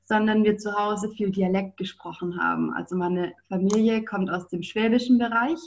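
A woman's voice: mid-range voice, fairly even intonation, moderate pace, muffled recording. A young German woman, a podcast guest, speaking fluently and thoughtfully. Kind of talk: casual interview.

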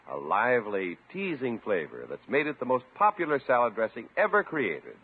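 A man's voice: mid-range voice, slightly rough. A man announcing in scripted 1950s radio style, presenting credits and sponsor copy. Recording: muffled 1950s radio, music and audience.

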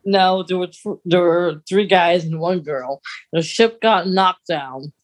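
A woman's voice: fairly low pitch, slow pace. A woman co-hosting a podcast in casual, playful chat, chiming in with corrections. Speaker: podcast co-host.

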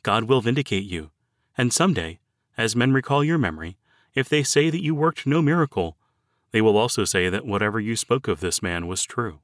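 Male narrator, scripted sermon reading, expressive rise and fall, dry close sound, earnest and formal. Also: fairly low pitch, brisk, no echo.

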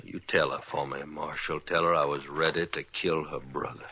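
An actor playing a grave, world-weary man of the Old West in a radio drama, with a gruff voice. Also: rumbling low, fairly even intonation, normal speed. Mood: weary, resigned. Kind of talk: 1950s radio western acting. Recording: muffled old radio recording.

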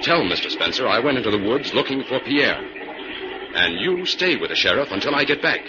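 Casting: man as calm, authoritative sleuth hero, measured delivery, old radio serial, dull hissy recording. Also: mid-range voice, lightly acted. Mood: businesslike. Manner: firm, measured, commanding. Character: calm, authoritative male detective hero, level-headed. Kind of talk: old-time radio drama acting.

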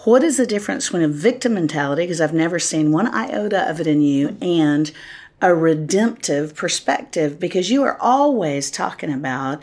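A woman's voice: sits low, lively intonation, moderate pace, darkish tone. A woman hosting an interview conversation, affirming her guest often and asking reflective faith-based questions. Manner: affirming, frequent "right" and "yeah" while listening. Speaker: host.

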